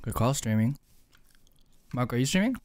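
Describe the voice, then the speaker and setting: A man's voice: mid-range voice, sing-song, brisk, darkish tone. A man hosting a gaming livestream, joking and laughing with friends and his chat. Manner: playful, joking, laughs often. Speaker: streamer, host.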